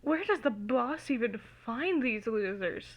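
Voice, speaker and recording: A woman giving an amateur, theatrical and giggly dramatic reading of fan fiction, recorded in low quality on a phone. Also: animated, measured pace, muffled recording. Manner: giggly, theatrical, easily cracking up.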